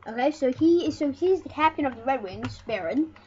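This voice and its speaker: A high-voiced speaker giving casual let's play commentary as host of a retro gaming series, rambling and often restarting phrases. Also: animated, fairly quick, mellow. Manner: rambling, repeats and corrects phrases. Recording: muffled, noisy home recording.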